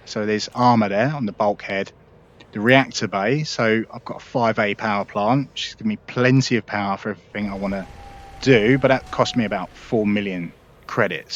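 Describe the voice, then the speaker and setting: A man with a fairly low voice talks viewers through a game in a relaxed, explanatory walkthrough. He keeps it chatty, full of "uh"s and small restarts as he goes. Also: dull audio. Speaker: gaming video host. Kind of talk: casual gameplay walkthrough.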